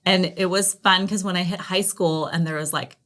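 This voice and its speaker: A woman's voice: fairly low pitch, fairly even intonation, moderate pace, a bit bright. A woman telling personal stories casually and animatedly as a podcast guest. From Los Angeles area, United States. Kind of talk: casual conversational storytelling.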